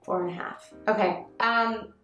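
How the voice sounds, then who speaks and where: A woman's voice: mid-range voice, fairly even intonation, unhurried, sharp tone, muffled recording. Woman chatting in an echoey room. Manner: informal, hedging, frequent "like" and "I mean". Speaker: review show co-host. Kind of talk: casual panel review chat. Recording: roomy echo with faint music.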